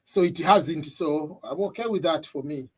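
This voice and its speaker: A man from Tanzania talking easily from his home computer. His voice is a little high for a man and has a husky edge. He keeps the chat loose and laughs often. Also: normal speed, a little mellow. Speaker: guest on a live stream. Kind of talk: casual chat. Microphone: computer mic.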